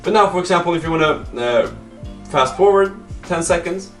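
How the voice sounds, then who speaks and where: A man's voice: a little high, lively intonation, moderate pace. Casual man vlogging over music. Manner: informal, conversational, mild swearing. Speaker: startup vlogger and app developer. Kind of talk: casual video diary and app demo.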